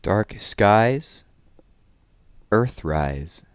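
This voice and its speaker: A man reading a children's story aloud as host, with an expressive, deliberate storyteller delivery. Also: on the low side, clear, resonant tone, no echo. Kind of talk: expressive children's picture book read-aloud with informal asides.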